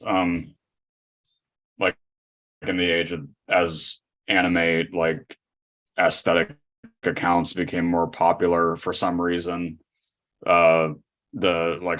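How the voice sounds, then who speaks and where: A man with a low voice and little melody in it. He talks slowly and haltingly, chatting casually as a guest on a podcast. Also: a bit bright. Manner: hesitant, halting. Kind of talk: casual chat.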